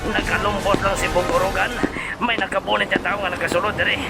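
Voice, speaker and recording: A man's voice: high voice, level tone, quick pace, vocal fry. Jumpy henchman, acted by a man: stammering, panicky audio drama guard, heavy music underneath. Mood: panicked. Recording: loud music behind the voice.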